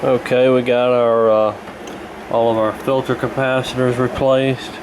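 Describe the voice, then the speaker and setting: A man narrating a repair casually in an unhurried, halting, matter-of-fact way. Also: medium pitch, flat delivery, background noise. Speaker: radio repair hobbyist presenting.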